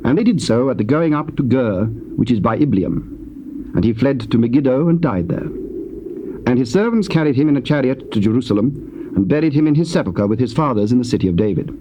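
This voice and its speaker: A man's voice: medium pitch, lively intonation, brisk. A man with a British accent narrating dramatised scripture in a solemn storytelling style. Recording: noisy old cassette tape recording.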